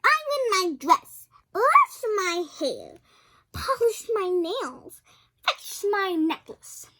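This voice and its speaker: A woman puts on a mean, spoiled storybook stepsister. She is high-pitched and swoops about, stretching out her bossy demands. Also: very animated, pushed and bright, heavily acted. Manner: demanding, drawn-out.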